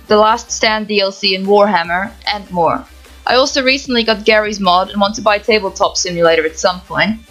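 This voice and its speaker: A woman's voice: medium pitch, fairly bright tone, rough recording. Young woman with a Dutch accent. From Netherlands. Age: young adult. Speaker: gaming YouTuber. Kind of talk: reading Q&A answers to camera, no face cam. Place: home setup, voice-over without camera.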